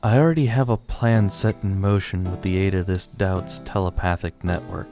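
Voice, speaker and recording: A man playing a weary, determined father who leads a rebellion, narrating his story gravely and earnestly in an audio drama. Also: deep voice, steady tone, normal speed, warm tone, faint music behind. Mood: resolute. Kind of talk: scripted first-person narration and audio drama dialogue.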